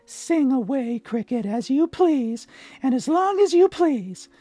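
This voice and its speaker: A man voicing a cheeky, defiant wooden puppet boy in a high, strained voice. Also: animated, slow, dark tone, soft background music, exaggerated voice.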